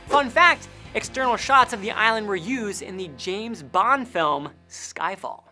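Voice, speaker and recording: A young man with an American accent presenting a scripted list narration in an emphatic, animated way. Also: high-pitched. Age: young adult. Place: indoor studio. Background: faint background music.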